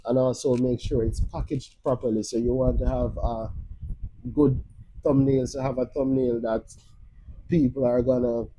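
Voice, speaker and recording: Jamaican man with a dark husky voice. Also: medium pitch, flat delivery, normal speed, rough recording. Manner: rambling, many restarts and fillers. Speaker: livestream host. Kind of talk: casual live-stream advice. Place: streaming live from Jamaica.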